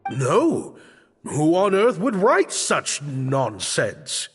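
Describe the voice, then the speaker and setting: An actor playing a theatrical, high-strung troupe director in a video game, with grandiose, emphatic delivery. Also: male voice, wildly swooping pitch, very slow, slightly rough, heavily acted. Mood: alarmed, indignant. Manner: grandiose, emphatic, florid phrasing. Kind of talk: dramatic game voice acting. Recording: reverberant studio voice with music. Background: background music.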